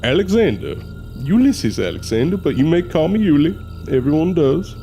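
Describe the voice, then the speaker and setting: An actor playing a folksy Southern mortician and spirit medium in an audio drama, courteous and hesitant with drawn-out phrasing. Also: male voice, fairly high pitch, very animated, mellow, highs cut off. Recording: music under the voice.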